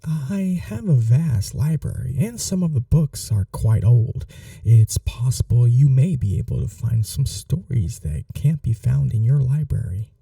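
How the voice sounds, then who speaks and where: A man playing a gentle, old-world vampire gentleman in an intimate ASMR roleplay, speaking in a polite, soothing, softly formal way. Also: medium pitch, lively intonation, measured pace, vocal fry, no echo, distant mic. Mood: earnest, wistful.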